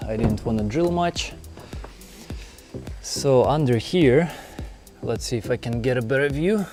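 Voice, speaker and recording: A man's voice: medium pitch, warm tone. A man hosting an informal hands-on how-to video, explaining things in a loose, rambling, unscripted way. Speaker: host of a truck build how-to video. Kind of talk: casual unscripted hands-on walkthrough.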